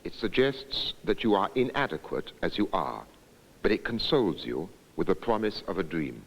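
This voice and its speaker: Deep, gravelly man narrating deliberately. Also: very animated, low-quality recording. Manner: measured, deliberate, reflective delivery. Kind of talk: scripted documentary narration.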